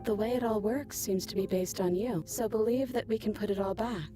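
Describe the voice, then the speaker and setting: An actress playing a calm, otherworldly princess goddess who speaks as many selves, in a dramatic video game. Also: female voice, mid-range voice, a little fast, close to an everyday voice. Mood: grave, persuasive. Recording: loud music behind the voice.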